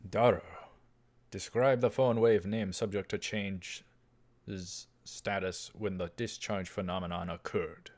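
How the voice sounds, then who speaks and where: A man's voice: sits low, steady tone, slow pace. A man doing a let's play, reading visual novel dialogue and narration aloud and adding casual asides. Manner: narrates each line with dialogue tags and aside remarks.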